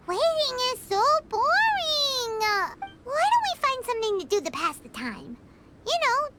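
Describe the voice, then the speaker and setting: A little floating game companion with a piping, childlike squeak. She is excitable and impatient, drawing out her words in big melodic swoops. Prominent game music plays behind her. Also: exaggerated voice. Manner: bubbly, exclaiming, refers to self in third person. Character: small excitable floating fairy-like girl companion, impatient and chirpy. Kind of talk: video game character voice acting.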